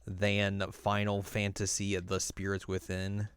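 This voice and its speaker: A man's voice: sits low, completely flat delivery, slow pace, clean tone, dry recording. A man co-hosting a podcast in casual chat, with an informal manner and wry asides. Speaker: podcast co-host. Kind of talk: casual chat ranking video game movies.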